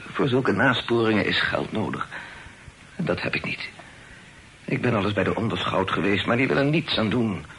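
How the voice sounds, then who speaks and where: An actor in a period radio play, voicing a humble, talkative old poet who is effusive, hesitant and deferential. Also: male voice, on the low side, animated, normal speed, gravelly, fairly bright tone. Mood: sorrowful. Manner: effusive, hesitant, sighing, repeats himself. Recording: noisy old radio drama recording.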